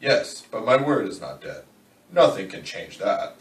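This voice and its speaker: A man playing a calm, menacing killer in a dramatic novel reading, speaking in measured, formal phrases with cold fatalism. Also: lowish voice. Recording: roomy, distant, faint background noise.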